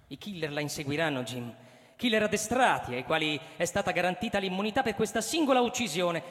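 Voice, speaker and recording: A man's voice: on the high side, long reverb tail, natural voice. An actor playing a slick, gushing TV game-show host, theatrical and emphatic as he addresses his audience in an audio drama. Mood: grave, coaxing.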